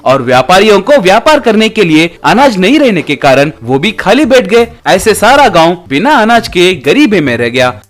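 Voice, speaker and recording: A man's voice: sits high, sing-song, normal speed, soft background music. A man narrating a scripted comic folk tale as a storyteller.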